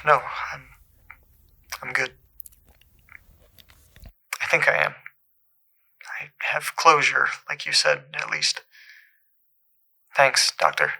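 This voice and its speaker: A man playing a regretful, pleading caller to a radio show in an audio drama, talking earnestly and haltingly in a tense, creaky voice. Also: medium pitch, bright, forceful tone, full character voice. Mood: resigned. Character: regretful, pleading male caller desperate for a second chance. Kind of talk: scripted audio drama, naturalistic acting.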